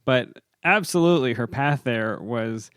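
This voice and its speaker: A man co-hosts a podcast, speaking in a measured, earnest way with a few "uh"s as he sets up the guest. His voice is mid-pitched. The sound is close and dry. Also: expressive, a bit bright, clear, resonant tone, no echo. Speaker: podcast co-host. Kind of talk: casual podcast chat.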